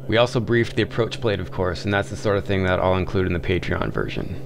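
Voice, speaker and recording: Gravelly low male voice-over with engine noise. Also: big pitch swings, fast talker. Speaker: host narrating voice-over. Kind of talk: scripted video narration.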